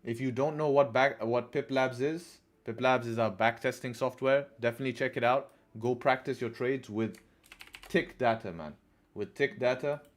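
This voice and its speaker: A man's voice: medium pitch, normal speed. A man from England hosting a live trading stream, chatting casually, repeating phrases and answering questions from chat. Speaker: livestream trading host.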